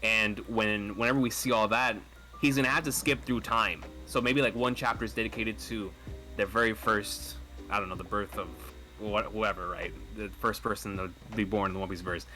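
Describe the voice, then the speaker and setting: A man's voice: lowish voice, fairly even intonation, normal speed, pushed and bright, soft background music, low-quality recording. A man in a casual podcast chat, laying out his ideas eagerly and emphatically.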